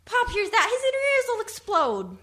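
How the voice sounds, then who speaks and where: A woman's voice: very high voice, huge pitch swings, normal speed, pushed and bright, full character voice. A woman playing an incredulous, pleading sibling in a comic radio play, protesting in disbelief. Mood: aghast. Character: incredulous, pleading younger sibling from a jazz family.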